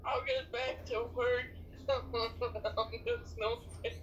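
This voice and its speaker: A man with a high, sharp voice chats casually on a video call. He talks at a slow pace. His voice comes through a phone speaker with faint noise behind it. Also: little pitch movement, muffled recording. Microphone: video call played through a phone.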